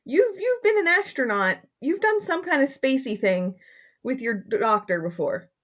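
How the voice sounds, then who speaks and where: A woman co-hosting a podcast in casual chat, lively and quick to laugh. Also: high voice, lo-fi sound. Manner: chatty, animated, laughs a lot. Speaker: podcast co-host.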